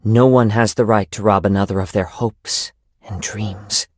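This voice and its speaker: A young man playing a gentle, poetic wandering samurai in a video game, soft-spoken and reflective, with formal, measured phrasing. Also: on the low side, steady tone, no room sound, studio quality. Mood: solemn, thoughtful. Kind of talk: video game character voice lines.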